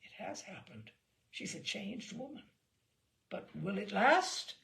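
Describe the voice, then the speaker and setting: A wry ship's doctor from a storybook , a man voiced by a woman reader. He is playful and observant, teasing in an understated way with some creak in the voice. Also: mid-range voice, sing-song, slow pace, a bit bright, heavily acted. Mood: wry, muttering.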